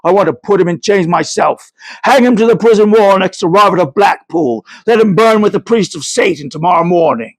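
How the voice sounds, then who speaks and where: A man's voice: high voice, a little fast, no room sound. A man voicing a brutal soldier on horseback, barking orders in a storybook reading. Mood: menacing.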